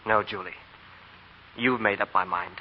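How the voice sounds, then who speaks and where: Man acting a stern, formal gentleman. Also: fairly low pitch, fairly even intonation, normal speed, slight background noise, lightly acted. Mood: bitter resolve. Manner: formal, controlled, commanding. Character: stern, icily courteous Southern gentleman, proud and unbending. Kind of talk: 1940s radio drama acting. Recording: muffled 1940 radio broadcast, music behind.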